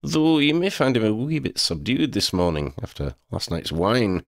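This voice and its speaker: A man's voice: lowish voice, big pitch swings, normal speed, vocal fry, no echo, clean studio sound, voice pushed up. A man voicing a proud, lordly talking male cat in a storybook, with pompous, formal wording. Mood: lordly, playful. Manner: pompous, formal wording with Scottish turns of phrase.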